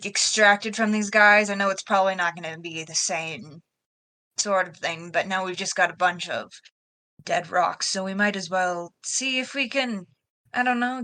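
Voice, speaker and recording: A woman's voice: mid-range voice, sharp tone. A woman role-playing in conversation as the captain of a ship in a tabletop game. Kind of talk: in-character tabletop role-play chat.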